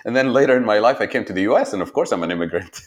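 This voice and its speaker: Deep-voiced man recounting memories. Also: lively intonation. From Tunisia. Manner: reflective, halting, laughs often. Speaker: interviewee. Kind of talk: personal storytelling interview.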